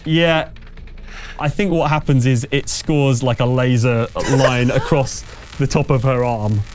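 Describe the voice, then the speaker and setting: A man running a tabletop game as game master, narrating off the cuff in a hesitant, halting way. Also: medium pitch, steady tone, darkish tone, slight background noise. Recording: music behind the voice.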